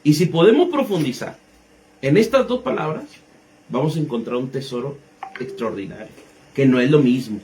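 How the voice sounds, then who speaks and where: A man's voice: mid-range voice, lively intonation, normal speed, warm tone, slight background noise, low-quality recording. A man in his thirties, a pastor, teaching the Bible conversationally, explaining with rhetorical questions and everyday examples. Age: 30s.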